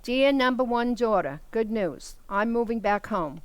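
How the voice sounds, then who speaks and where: A woman playing an exasperated, scheming married sister in a comic radio play, brisk and sardonic. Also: a little high, animated, no echo. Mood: dismayed. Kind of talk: comic radio theater acting.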